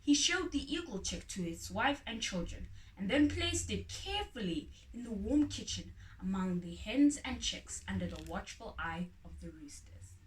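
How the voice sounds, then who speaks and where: A woman reading a children's story aloud in an expressive, dramatic way. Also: medium pitch, normal speed, few pauses. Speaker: storybook reader. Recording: roomy echo, distant mic, faint noise.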